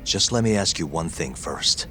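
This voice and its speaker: A dogged investigator in a game drama, with a low American voice. He is cool and blunt, pressing with sceptical questions. Music plays clearly behind him. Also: expressive, moderate pace, pushed and bright. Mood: suspicious. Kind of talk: naturalistic game voice acting.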